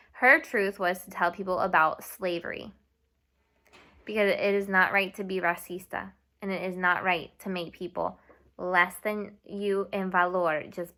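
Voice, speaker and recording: A young woman teacher giving a video lesson to children, speaking slowly and clearly and switching between English and Spanish. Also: medium pitch, sharp tone. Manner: slow and clear, mixing English and Spanish, asks students to repeat. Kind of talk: classroom video lesson for kids.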